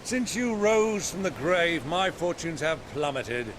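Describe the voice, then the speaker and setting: A hearty older warrior uncle from a fantasy game. He speaks slowly in a raised register, affectionate and theatrical, with noisy ambience behind him. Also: fairly high pitch, very animated. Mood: wry, fond. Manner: theatrical, avuncular, old-fashioned turns of phrase. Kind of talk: dramatic video game cutscene acting.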